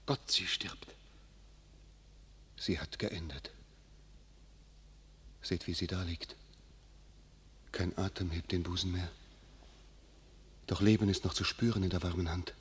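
An actor playing a grief-stricken nobleman who speaks in hushed, sorrowful verse in a classic radio play. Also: low voice, fairly even intonation, slow pace, long pauses, breathy edge, heavily acted. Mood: grieving.

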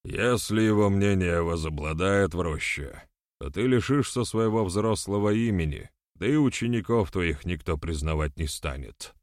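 A man's voice: deep voice, animated, normal speed, no echo, studio quality. A man voicing an earnest, conciliatory clan elder in an audiobook, with an admonishing, urgent manner. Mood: cautioning.

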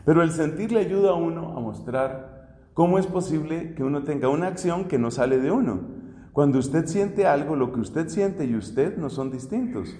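A man's voice: fairly high pitch, expressive, normal speed, warm tone. A Colombian man in his fifties, a Dominican friar teaching a theology class, explaining step by step with questions and everyday examples. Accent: Colombian Spanish. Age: 50s. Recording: echoey hall with long reverb.

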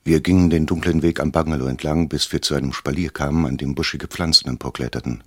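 An actor playing an uneasy first-person narrator in a radio crime drama, telling the story in a measured literary way with a husky voice. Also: male voice, a bass voice, steady tone, a little mellow, no room sound, studio quality. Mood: sober, measured.

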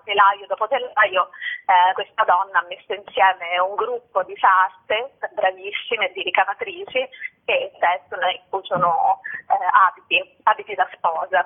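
A woman actress answering interview questions about her stage work, thoughtful and hesitant, with many fillers and restarts. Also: medium pitch. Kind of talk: casual spoken interview. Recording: phone-like narrow sound.

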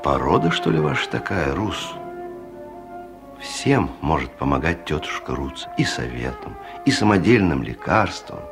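Over clear music, a low male voice muses as a grave conscience in a radio play. His delivery is slow, measured and gently reproachful, with reflective weight. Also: sing-song, heavily acted. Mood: musing. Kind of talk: theatrical radio play monologue. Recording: music under the voice.

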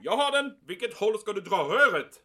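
Man playing a sharp-voiced, officious commandant. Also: sits high, very animated, faint music behind, full character voice. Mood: guarded. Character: fussy, secretive male military commandant, a major. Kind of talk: audio drama acting.

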